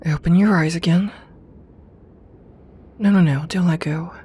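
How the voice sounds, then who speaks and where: A woman playing a doting, teasing evil lamia queen in an ASMR roleplay, soft-spoken and unhurried, with formal phrasing and near-whispered murmurs. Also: fairly low pitch, steady tone, breathy edge. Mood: gentle, reassuring. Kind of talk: ASMR roleplay, intimate personal attention.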